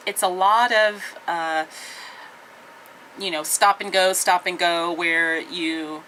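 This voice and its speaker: Bright-voiced woman radio traffic reporter. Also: fairly low pitch, fairly even intonation, rough recording. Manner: easygoing, halting with frequent ums and uhs. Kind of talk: casual vlog chat with an on-air traffic read. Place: radio station office and studios, indoors.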